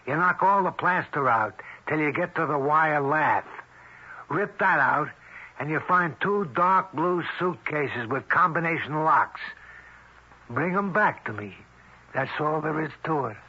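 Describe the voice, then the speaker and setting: A muffled old radio broadcast carries a bossy American gangster. His voice is hard-edged and rough, and he talks in streetwise slang with open menace. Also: a little high, bright, forceful tone, heavily acted. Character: menacing Italian-American mobster, male fugitive, slangy and bossy. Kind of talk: old-time radio drama acting.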